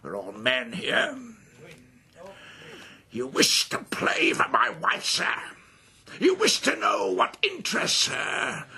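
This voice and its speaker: A bitter old nobleman near death, contemptuous of all around him. His voice is creaky and hoarse-edged, and he drags out his words with theatrical scorn in a radio drama. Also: on the high side, wildly swooping pitch, slow, pauses often, sharp tone, full character voice. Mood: mocking, impassioned. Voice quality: raspy, creaky. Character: bitter, dying aristocratic husband, sneering and contemptuous lord.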